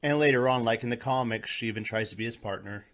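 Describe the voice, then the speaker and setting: A man's voice: mid-range voice, fast talker, a bit bright. A man hosting a scripted video review, talking in a colloquial, mildly profane way. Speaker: reviewer, host.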